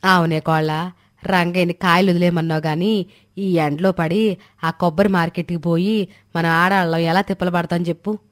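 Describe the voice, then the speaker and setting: A woman's voice: lowish voice, steady tone, moderate pace, lightly acted. A woman playing an older, cautious village mother-in-law in a storybook drama, with homely colloquial speech. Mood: homely, practical. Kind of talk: dramatized Telugu moral story dialogue.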